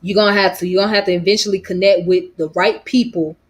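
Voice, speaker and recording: A woman's voice: medium pitch, fairly even intonation, fast talker. A woman from Georgia with a strong Georgia Southern accent, talking loosely to camera in a casual vlog, her voice a little worn, full of "you know what I'm saying" fillers. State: voice slightly worn. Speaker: vlogger. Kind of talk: casual rambling monologue.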